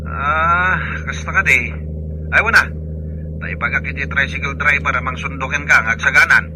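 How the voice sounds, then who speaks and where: A man's voice: mid-range voice, normal speed, noisy background, close to an everyday voice. A man acting an evasive, jocular father on a telephone in an Ilocano radio drama, with an Ilocano accent. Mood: casual.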